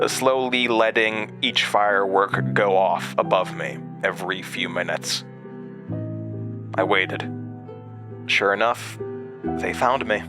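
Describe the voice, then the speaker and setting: An actor playing a traumatized man recounting his horrifying past in an audio drama, halting and stammering. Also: lowish voice. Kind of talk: audio drama monologue, first-person narration. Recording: music under the voice.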